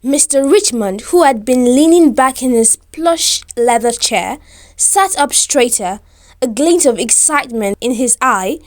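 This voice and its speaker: A woman's voice: high-pitched, lively intonation, measured pace. A woman storyteller reading a scripted romantic tale in a formal, descriptive, careful manner.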